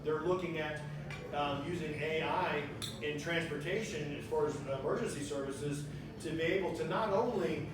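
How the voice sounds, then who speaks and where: A man with a slightly higher voice talks to a small group in a loose, rambling way, restarting his sentences often. The sound bounces around a reverberant room and comes from a distant mic. Background noise is clear underneath him. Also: normal speed. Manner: rambling, conversational, lots of restarts. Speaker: guest speaker. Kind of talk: presentation to a small audience.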